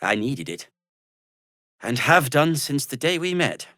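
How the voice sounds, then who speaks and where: Weary earnest gnome dude for a video game, talks real slow n hesitant, super close dry sound, kinda humble and wry. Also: male voice, mid-range voice, very animated, bright, forceful tone, no room sound. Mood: grateful, warm. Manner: hesitant, self-deprecating, sighing delivery. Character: earnest, weary, kind-hearted gnome man, wry and loyal. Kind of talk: video game character dialogue.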